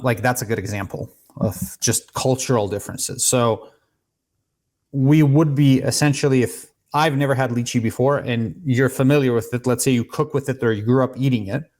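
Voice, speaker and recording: A man's voice: mid-range voice, a little mellow. Man from Serbia explaining things. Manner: explanatory, storytelling, many restarts. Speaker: podcast guest, cigar maker. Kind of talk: casual podcast conversation.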